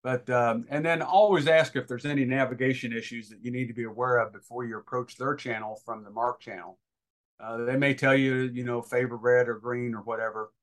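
A man's voice: medium pitch, fairly even intonation, fairly quick. A man giving informal instruction as a guest expert, talking in an emphatic, advisory way.

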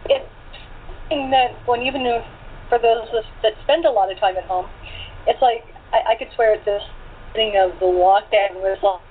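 A woman's voice: on the high side, brisk. A woman chatting casually in an interview, matter-of-fact and a little wry. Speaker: actor in a radio play. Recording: tinny phone-like internet call, noisy.

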